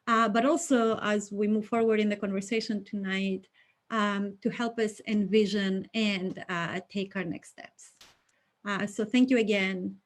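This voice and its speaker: A woman from Greece, presenting a manifesto on a video call with many fillers and measured emphasis. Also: medium pitch. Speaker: coalition member presenting a manifesto. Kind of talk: online event presentation.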